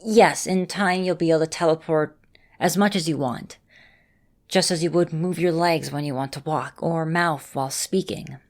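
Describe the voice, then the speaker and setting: A woman voicing a caring, composed vampire mistress and mentor in an ASMR roleplay, speaking directly to the listener in measured, formal phrasing. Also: low-pitched, darkish tone. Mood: calm, instructive. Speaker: voice actor in a roleplay audio.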